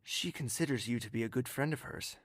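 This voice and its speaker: A courtly, protective man played by an actor in an audio drama. He speaks gently and earnestly at a medium pitch, with formal, reassuring phrasing. The recording is very dry and intimate. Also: a little fast, no echo, natural voice. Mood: courtly, coaxing. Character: gentle, courtly, protective confidant with a wounded past.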